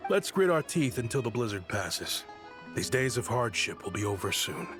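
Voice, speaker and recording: A man's voice: mid-range voice, sing-song, moderate pace, over music, natural voice. A man acting as a theatrical, pleading town official. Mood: pious. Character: smooth, contrite male town liaison feigning innocence. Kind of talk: video game voice acting.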